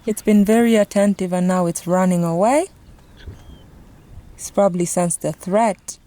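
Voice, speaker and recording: A woman's voice: on the low side, a little slow, dark tone. A young Ugandan woman with a Ugandan accent, narrating a travel vlog in a chatty way and laughing easily, recorded outdoors. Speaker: travel vlogger. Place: outdoors on safari, vehicle nearby. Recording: outdoor ambience and vehicle noise.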